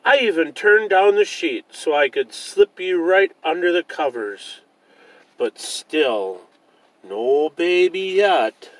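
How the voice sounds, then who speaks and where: A man voicing a loving, gentle mother bear who reassures her cub in a children's storybook. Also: on the high side, animated, takes it slowly, pitched above the performer's own voice, poor audio. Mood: animated.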